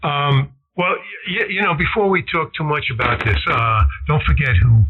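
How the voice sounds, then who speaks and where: A man's voice: a little high, expressive, normal speed, husky, narrow, tinny audio. A man chatting casually about technical matters as an amateur radio operator. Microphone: radio station microphone. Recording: band-limited ham radio transmission.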